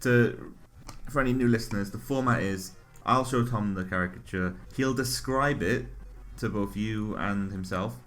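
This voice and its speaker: A man with a fairly low voice co-hosts a comedy podcast. He talks in a flat, deadpan way, as if everything he says is ordinary. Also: normal speed, few pauses, faint music behind. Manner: deadpan, reads absurd menu items flatly. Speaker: podcast co-host.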